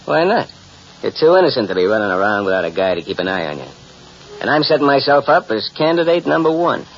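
A cocky american tough gambler, slangy flirty talk, 40s radio show, muffled with a bit of hiss. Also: male voice, medium pitch, very animated, fast. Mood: flirtatious. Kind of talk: 1940s-50s radio drama acting. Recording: muffled old radio recording, faint noise.

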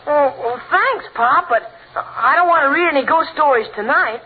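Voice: high-pitched, animated, moderate pace. A performer playing an earnest, worried thirteen-year-old American boy in 1940s radio comedy, eager and naive. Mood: uneasy. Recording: tinny, faintly noisy 1940s radio recording.

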